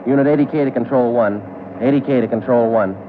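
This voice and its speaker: A man with an American accent playing a terse, businesslike police detective in a clipped, deadpan 1940s radio drama style. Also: mid-range voice, fast talker, very noisy, lightly acted. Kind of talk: 1940s radio acting, clipped first-person police narration. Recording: tinny vintage radio broadcast with music behind.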